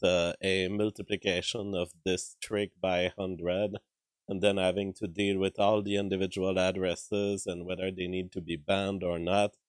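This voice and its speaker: A man hosting a live stream, hoarse from a cold, talking in a halting way with many fillers and throat-clearing. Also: fairly low pitch, no room sound. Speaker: livestream show host. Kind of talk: casual live-stream commentary reading chat. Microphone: streaming microphone.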